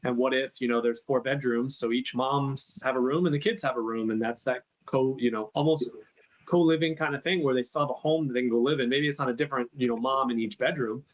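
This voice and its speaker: A man's voice: mid-range voice, steady tone, brisk. A man with a mid-range voice, speaking as a podcast guest. He sounds earnest and rambles, stumbling over repeated words before speeding up. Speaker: guest, real estate investor. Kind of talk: casual podcast conversation.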